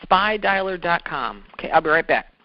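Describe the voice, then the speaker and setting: A man's voice: on the high side, very animated, normal speed. A man giving an informal instructional talk as a sales trainer, explaining things step by step in a conversational way and repeating key points. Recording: dull, lo-fi audio.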